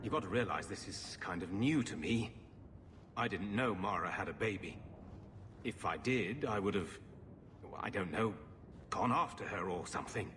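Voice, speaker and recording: An actor playing a gruff, awkward middle-aged father in a fantasy video game, halting and grumpy. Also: lowish voice, expressive, long reverb tail, background noise, natural voice.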